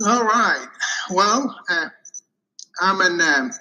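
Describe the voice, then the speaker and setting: This is a man from Iraq in his forties, speaking as a podcast guest. His voice is bright and forward, and he hesitates often, filling gaps with ums and uhs. He reflects on his life in a casual, earnest way. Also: high-pitched, expressive, slow pace, dull audio. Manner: hesitant, many fillers and restarts. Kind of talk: casual interview answers.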